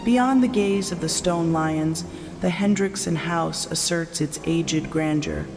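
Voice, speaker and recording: A man giving atmospheric, scripted gothic narration in a measured, dramatic, solemn manner. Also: a little high. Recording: long reverb, loud music behind.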